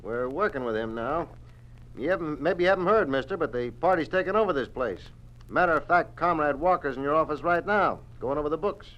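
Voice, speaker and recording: An actor playing a brusque, officious police guard in a 1950s radio drama, blunt and colloquial, on a dull old recording. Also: male voice, a little high, animated, a little fast, lightly acted.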